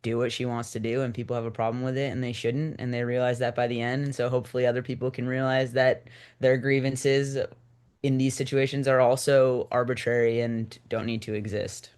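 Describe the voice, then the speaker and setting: A man's voice: mid-range voice, flat delivery, a little fast, a little mellow, clear, resonant tone. A man talking casually as a writer guest in an interview, informal and peppering his talk with like. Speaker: screenwriter guest. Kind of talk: casual chat.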